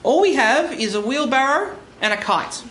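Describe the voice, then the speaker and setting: Woman playing a meek, high-pitched polite clerk. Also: animated, normal speed, fairly bright tone. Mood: dutiful, worried. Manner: hesitant, polite, deferential. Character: meek, dutiful office clerk with pirate mother. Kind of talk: stage radio play acting. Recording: roomy live studio, faint noise.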